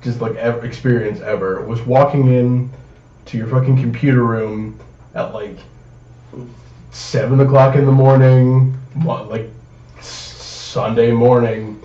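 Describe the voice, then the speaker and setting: A man's voice: mid-range voice, steady tone, measured pace, dark tone. A young man co-hosting a casual gaming podcast, talking with swearing and hyperbole. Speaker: podcast co-host. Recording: distant echoey dull mic, noisy room.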